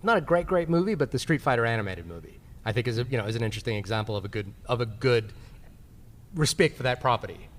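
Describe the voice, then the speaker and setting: Halting male panelist in a big echoey hall. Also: medium pitch, animated, a little hiss or hum. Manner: halting, repeats words as he thinks. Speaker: panelist on a film podcast. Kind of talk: live panel discussion, casual. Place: large convention panel room. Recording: echoey hall PA, live recording.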